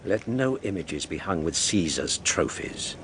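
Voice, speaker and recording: Commanding Roman official amid heavy noise. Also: male voice, deep voice, expressive, a little slow. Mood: scornful, resolute. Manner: commanding, rhetorical. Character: zealous, commanding Roman official, scornful of Caesar. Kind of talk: radio Shakespeare acting, declamatory. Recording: very noisy background.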